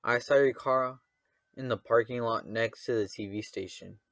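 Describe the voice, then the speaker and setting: A man's voice: mid-range voice, normal speed, creaky, a bit bright, dry recording. A man acting a stern, suspicious father, dry sound. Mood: suspicious, sarcastic. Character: gruff, suspicious father in a failing marriage. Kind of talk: radio drama acting.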